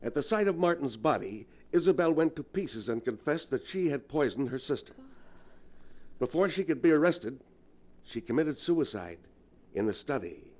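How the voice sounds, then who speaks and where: An actor playing a grave, learned doctor of the supernatural, telling dark history in a measured, ominous 1940s radio manner. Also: male voice, medium pitch, lively intonation, lightly acted. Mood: grave, explaining.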